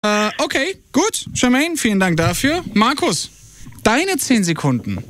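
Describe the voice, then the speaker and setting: A man's voice: very high voice, sing-song, unhurried, a bit bright, slight background noise. A man hosting a live radio game show in an upbeat, informal way, often repeating words. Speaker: radio host. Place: radio studio. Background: music bed.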